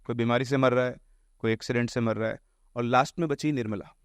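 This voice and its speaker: A man's voice: mid-range voice, fairly even intonation, fast talker, clear, resonant tone, dry recording, clean studio sound. A man telling ghost tales casually in an explanatory way, mixing in English words. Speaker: storyteller on a horror podcast.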